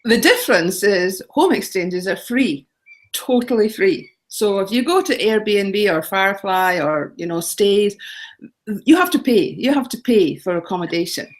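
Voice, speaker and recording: Scottish woman, middle-aged, chatty, rambling, repeats herself, medium pitch. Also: lively intonation, measured pace. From Scotland. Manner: chatty, rambling, repeats phrases, frequent ums and laughs. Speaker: guest, home exchange author. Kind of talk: casual interview talk.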